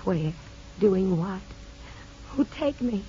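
An actress playing a distraught, pleading young wife and mother in 1950s American radio drama, speaking emotionally and haltingly. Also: mid-range voice, expressive, warm tone. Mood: despairing. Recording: dull old radio broadcast recording.

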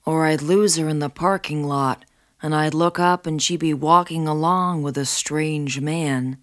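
A woman hosting a podcast, reading scripted narration and poetry in a measured, literary way. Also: sits low, steady tone, dry recording, clean studio sound. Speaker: podcast host.

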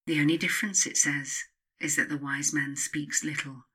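A woman reading her own self-help book as scripted narration, in a measured, instructive manner with emphatic pauses. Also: deep voice, steady tone, sharp tone, breathy edge.

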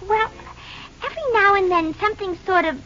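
An actress playing a chatty, sassy band musician in a 1940s radio drama, with an American accent and affected refinement that slips into slang. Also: female voice, extremely high pitch, fairly quick, bright, forceful tone, slight background noise. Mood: confiding. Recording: dull old radio broadcast recording.

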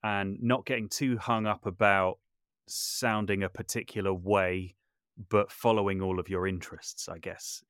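A man's voice: low voice, steady tone, measured pace, fairly bright tone, smooth, no echo, clean studio sound. A man speaking reflectively as an interview guest, thoughtful and often hedging or correcting himself as he goes. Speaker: podcast guest, audio drama maker and composer.

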